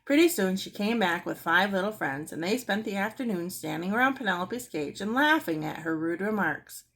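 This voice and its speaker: A woman reading a children's book aloud as an expressive storyteller. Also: medium pitch, moderate pace. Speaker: storybook reader.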